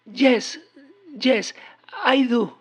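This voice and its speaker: A woman voicing an anxious, kind-hearted neighbor in a horror audio drama, speaking in a soft, halting, worried way. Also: a little high, pitched above the performer's own voice. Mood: distressed, guilty.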